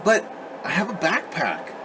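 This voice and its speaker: A man's voice: sits high, wildly swooping pitch, measured pace, fairly bright tone, a little hiss or hum, pitched above the performer's own voice, exaggerated voice, poor audio. A man voicing an eager, earnest young pig in a storybook, proud and insistent in manner. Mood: protesting.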